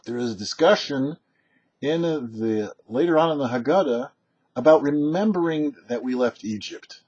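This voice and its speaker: A man who is a rabbi reads a prepared religious lesson in a measured, explanatory way with emphatic pauses. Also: medium pitch, expressive, darkish tone, slightly rough, lo-fi sound. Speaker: rabbi hosting a weekly Torah teaching show. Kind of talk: prepared religious teaching, read aloud.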